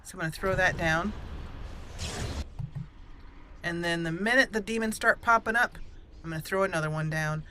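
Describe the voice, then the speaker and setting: A woman's voice: lowish voice, quick pace, sharp tone, slight background noise. A woman hosting a game playthrough, fretfully talking through each move as she plays. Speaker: let's play host. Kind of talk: gameplay commentary.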